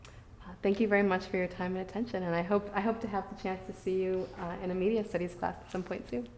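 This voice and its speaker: A woman's voice: medium pitch, steady tone, quick pace. A woman professor from Michigan, talking to new students in a friendly, informal way with fillers. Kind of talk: casual talk to students. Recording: echoey room, faint noise.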